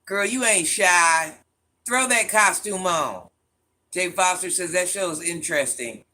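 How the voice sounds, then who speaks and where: A woman's voice: on the low side, unhurried, bright, forceful tone, slight background noise. A woman hosting a casual livestream, reading viewer comments aloud and teasing them by name with a laugh. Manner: calls herself Granny.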